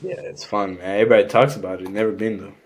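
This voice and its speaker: A man's voice: fairly low pitch, steady tone, fast. A young man from Rwanda, a French and Rwandan speaker, chatting easily as a podcast guest with lots of "you know what I'm saying.". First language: a Rwandan language; also French. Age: young adult. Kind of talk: casual conversation.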